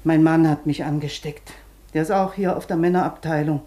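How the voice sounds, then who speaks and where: A deep-voiced woman played by an actress in a radio play. She is a long-term patient who bosses and chatters. Her manner is blunt, knowing and colloquial. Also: steady tone, a little fast, dark tone, natural voice. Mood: chatty, knowing. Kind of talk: German radio play acting.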